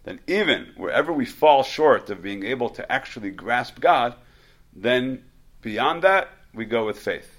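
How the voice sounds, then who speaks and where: A man's voice: medium pitch, lively intonation, a little slow, a bit bright. A man teaching a religious study class in an informal way, often repeating words and restarting his sentences as he explains. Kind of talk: informal religious lecture with questions.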